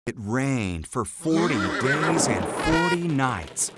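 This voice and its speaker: A narrator reads a scripted children's story at a slow, measured pace. The pitch moves in lively swings from phrase to phrase, and loud music plays underneath the whole reading. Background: loud background music.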